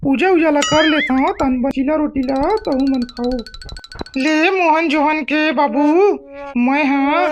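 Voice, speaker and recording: A woman's voice: sits high, steady tone, slow pace. A woman voicing a doting, scolding yet patient village mother in a comedy cartoon, with a Chhattisgarhi accent. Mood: patient explaining. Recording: music behind the voice.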